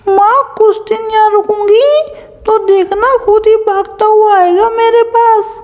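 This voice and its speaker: A woman voicing a sharp-tongued young female crow in a cartoon, a quarrelsome wife with a scolding, defiant manner. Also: very high-pitched, steady tone, measured pace. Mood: complaining. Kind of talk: Hindi cartoon voice-over.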